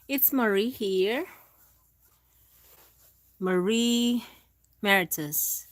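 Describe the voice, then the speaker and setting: A woman hosting a casual live gardening stream, talking in a halting, chatty way with many restarts. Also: mid-range voice, no echo. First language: Filipino language. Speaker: gardening channel host. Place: indoors at home.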